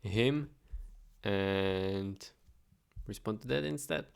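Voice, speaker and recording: A young man casually talking through his daily business update while reading viewer questions, chatty and relaxed, thinking aloud. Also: lowish voice, very slow, gravelly. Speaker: automation agency owner vlogging. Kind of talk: casual screen-share vlog.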